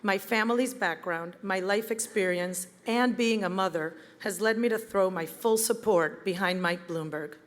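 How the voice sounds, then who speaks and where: A woman, giving a prepared campaign speech in an earnest, measured way. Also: mid-range voice. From Venezuela. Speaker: campaign event speaker. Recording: reverberant hall with crowd.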